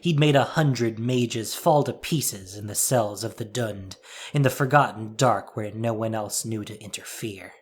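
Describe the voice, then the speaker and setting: A man's voice: on the low side, measured pace, husky. A man narrating a scripted audio drama in a dramatic storytelling style.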